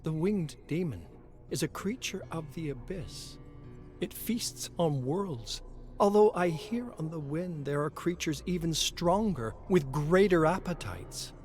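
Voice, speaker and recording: A man voicing an eerie, pitying woman who speaks solemnly and cryptically in a dark storybook reading. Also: fairly high pitch, lively intonation, measured pace, soft background music, pitched above the performer's own voice. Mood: ominous.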